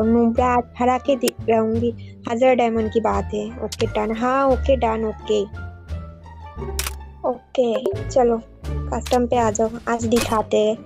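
A young woman with a high, slightly gravelly voice who pushes and teases in a game voice chat. Faint music plays underneath her talk. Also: darkish tone. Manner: teasing, pushy and indignant. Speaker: gamer friend on voice chat. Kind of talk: casual game-lobby chat.

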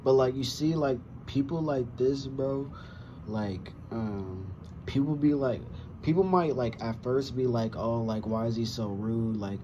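A man's voice: mid-range voice, a little slow, dark tone, slight background noise. Slangy young man, muffled audio. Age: 20s. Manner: slangy, rambling, frequent profanity. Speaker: reaction video host. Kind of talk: casual chat to camera. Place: small room with wooden cabinets indoors. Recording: dull lo-fi sound, music behind.

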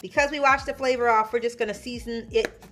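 A woman's voice: a little high, steady tone, fast, music in the background. A woman in her thirties hosting a casual home cooking video, chatty and upbeat, talking straight to viewers in her kitchen. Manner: upbeat, chatty, talks to viewers as "you guys". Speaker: cooking vlogger, host. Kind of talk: casual cooking demo chat.

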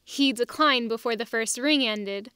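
A woman voicing a bubbly, energetic, curious teenage girl in an audiobook drama. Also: fairly high pitch, unhurried, clean tone, dry recording, clean studio sound. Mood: curious, chatty. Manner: exclamatory, chirpy.

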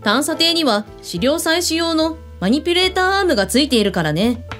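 A woman's voice: high-pitched, sing-song, fairly quick, fairly bright tone, faint music behind. An actress in a radio drama playing a breezy, blunt female hotel owner who speaks with authority. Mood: explanatory, confident.

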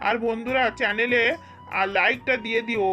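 A woman's voice: fairly high pitch, fairly even intonation, brisk, bright, forceful tone, muffled recording. A woman voicing a hardworking, weary village farmer and vegetable-selling father in an amateur cartoon dub. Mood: homely, weary. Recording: music under the voice.

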